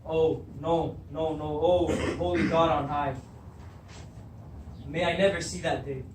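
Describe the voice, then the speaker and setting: A man's voice: on the high side, steady tone, takes it slowly. A young man acting a troubled, hot-tempered king in a readers theatre Greek tragedy, full of fear and guilt. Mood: anguished. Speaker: student actor. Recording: distant echoey room recording with background noise.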